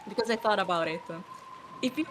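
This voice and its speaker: A woman's voice: medium pitch, fairly quick. Giggly Italian-accented woman, noisy mic. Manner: giggly, hesitant while translating in real time. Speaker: co-streamer. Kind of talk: casual game stream chat.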